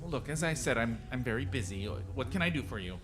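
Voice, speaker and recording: This is a woman acting a snooty floor walker in a radio play. The voice is low and very animated. The manner is curt, fussy and evasive, full of stammers. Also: fast, music in the background. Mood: curt, impatient. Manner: high-handed, fussy, hesitant stammering. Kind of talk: 1940s radio drama acting.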